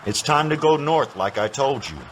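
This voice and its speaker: A man's voice: medium pitch, lively intonation, moderate pace, slight background noise, natural voice. An actor playing a fatherly old male mentor.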